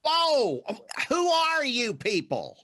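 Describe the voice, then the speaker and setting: Slow, fussy elderly cartoon frog. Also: male voice, extremely high pitch, wildly swooping pitch, bright, forceful tone, full character voice. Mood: alarmed. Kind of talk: animated cartoon table read.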